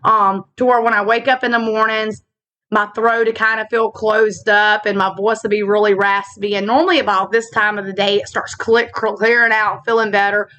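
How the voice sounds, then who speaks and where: A woman with a slightly raspy voice, hosting a casual faith vlog, talking earnestly with fillers and slow reflective stretches into a laptop mic. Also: medium pitch, flat delivery, bright, forceful tone. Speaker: channel host. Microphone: laptop built-in mic.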